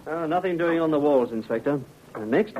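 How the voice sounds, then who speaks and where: A man's voice: fairly high pitch, expressive, fairly quick, lightly acted. Man acting male detective, deferential, matter-of-fact, faint hiss, dull vintage radio. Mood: businesslike. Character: diligent, deferential male police detective searching. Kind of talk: 1950s radio drama acting. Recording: muffled old radio recording with faint noise.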